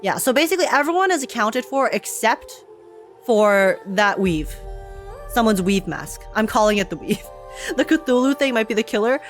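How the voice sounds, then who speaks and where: A woman's voice: on the high side, expressive, moderate pace, faint music behind. Slangy woman streamer reacting to a game. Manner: slangy, emphatic, rambling. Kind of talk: casual excited live commentary.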